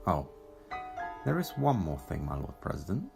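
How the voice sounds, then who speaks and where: Guy playing a smug creepy executioner, creaky voice, swoopy intonation, music under it. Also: sits low, sing-song, moderate pace, exaggerated voice. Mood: sly, knowing. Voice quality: gravelly, creaky. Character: calculating, menacing male executioner Time Lord, smug schemer. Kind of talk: audio drama acting. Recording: clear music behind.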